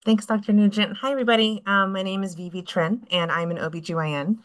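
A woman's voice: mid-range voice, steady tone, fast. A woman in her thirties from California, an obstetrician, introducing herself candidly over a video call from a clinic room. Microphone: computer webcam on video call.

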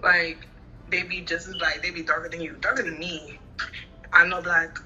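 A woman's voice: fairly low pitch, steady tone, sharp tone, muffled recording. A young woman from Honduras, a guest in a casual video call interview, talking from a phone in a small bedroom and filling her speech with "like". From Honduras, Garifuna. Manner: casual, fast, peppered with "like". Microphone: phone at arm's length on video call.

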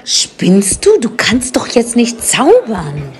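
A woman plays a bossy old witch in a comic audio drama. She scolds and snaps impatiently, with a very lively pitch that rises as she nags. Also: slow, soft background music, heavily acted. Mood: irritated.

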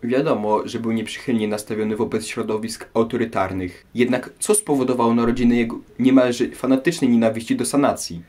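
A man's voice: medium pitch, steady tone, brisk, few pauses, a little mellow. A young man presenting a scripted interview as host, in a formal, rhetorical manner. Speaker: radio programme host.

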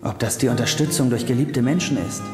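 An actor playing an earnest, boyish and slightly goofy young doctor in a German-dubbed TV comedy-drama. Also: male voice, medium pitch, fairly quick, echoey room, in a large hall, music in the background, natural voice. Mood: reflective. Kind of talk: German TV comedy-drama dubbing with reflective narration.

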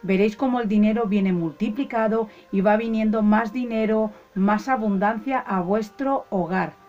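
A woman calmly explains a home ritual step by step, talking to her viewers as friends. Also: mid-range voice, moderate pace, lo-fi sound. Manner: calm, instructive, addresses viewers as friends. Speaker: ritual channel host. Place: indoors at a table, hands only in shot.

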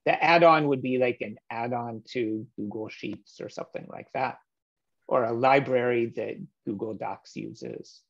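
A man in his thirties walking through code on a shared screen, relaxed and explanatory with frequent "um" fillers, heard over a video call. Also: mid-range voice, measured pace, creaky. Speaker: meetup presenter. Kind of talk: screen-share coding walkthrough. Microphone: webcam or laptop mic on a video call. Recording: slightly dull video-call audio.

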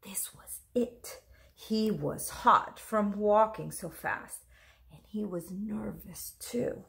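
A woman in her thirties reading a picture book aloud, expressive, deliberate and dramatic, like a teacher. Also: mid-range voice. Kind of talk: expressive storybook read-aloud. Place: small room at home. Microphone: camera or phone at close range.

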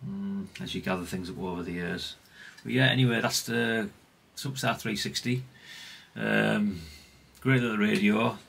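A middle-aged British man talks through hobby repairs off the cuff. His voice is husky and creaky, with a forceful, bright edge. He rambles along chattily and plainly, often tagging questions onto his remarks. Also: lowish voice, unhurried. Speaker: hobbyist radio repair vlogger. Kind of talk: casual unscripted workbench commentary.

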